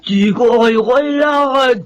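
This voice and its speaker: An actor voicing a hostile, spirit-possessed young boy in a horror radio drama, shouting menacing commands. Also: medium pitch, slow, muffled recording, a little hiss or hum, natural voice. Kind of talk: Tagalog radio horror acting.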